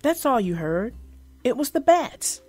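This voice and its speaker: An excitable little hippo boy from a children's storybook, acted out by a woman reader. The voice is high and moves freely up and down, with a slow, childlike delivery over music. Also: husky, heavily acted. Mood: excited, earnest.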